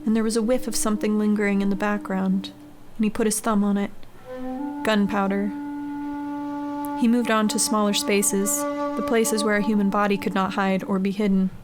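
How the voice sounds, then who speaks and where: A woman's voice: mid-range voice, flat delivery, normal speed, music in the background. A woman reading a scary short story as a scripted audiobook-style narration. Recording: clearly noisy background ambience.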